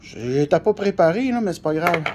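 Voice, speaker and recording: A man's voice: on the high side, animated, moderate pace, noisy background. A man with a Québécois French accent giving a casual, unscripted hands-on product demonstration in blunt, colloquial language. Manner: blunt, colloquial, mildly crude. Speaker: CB radio shop specialist presenting a product tutorial.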